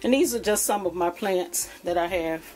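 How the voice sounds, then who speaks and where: Lowish-voiced woman rambling about her garden on a phone cam, soft music behind. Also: moderate pace. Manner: chatty, rambling, homey. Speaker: vlogger showing her garden. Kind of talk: casual chat to camera while gardening. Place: backyard outdoors, then indoors by a window.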